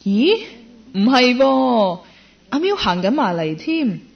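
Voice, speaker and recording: A woman's voice: a little high, sing-song, slow, vocal fry, full character voice. A woman acting a self-possessed career woman in a radio drama, speaking with a Hong Kong Cantonese accent as narrator and character. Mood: bored, then intrigued. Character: self-possessed career woman, fashion shop keeper, quietly smitten. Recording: dull lo-fi audio, music underneath. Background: background music.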